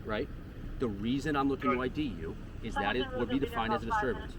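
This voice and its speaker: A man's voice: medium pitch, very animated, quick pace, vocal fry. A man in his thirties, a police supervisor questioning someone at a scene, firm and lecturing, often tagging "okay?" and "right?" onto his points. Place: outdoors by parked cars. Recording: distant voice, loud street noise.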